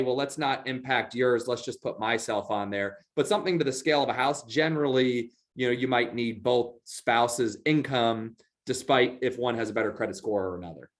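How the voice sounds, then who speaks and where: Relaxed man explaining banking answers. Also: mid-range voice. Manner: relaxed, explains with many fillers. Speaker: bank expert. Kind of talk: webinar Q&A answers.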